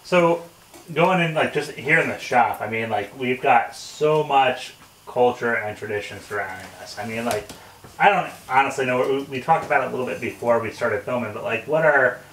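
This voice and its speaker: A man's voice: mid-range voice, moderate pace, a bit bright. A man hosting a casual conversational interview with firefighters in a workshop. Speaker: podcast host interviewing firefighters. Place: indoor workshop. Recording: echoey room, distant mic, background noise.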